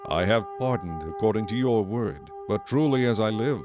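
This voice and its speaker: A man playing a stern, majestic God in a dramatized scripture recording, solemn, authoritative and deliberate. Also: fairly low pitch, animated, lightly acted. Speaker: narrator and voice of the Lord. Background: music.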